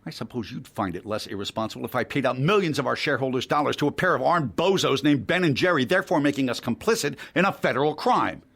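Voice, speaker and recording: An actor playing an arrogant, cold investor in an audio drama, speaking with condescending sarcasm. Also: male voice, medium pitch, animated, fast talker, gravelly, hardly any pauses, fairly bright tone. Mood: sarcastic, smug.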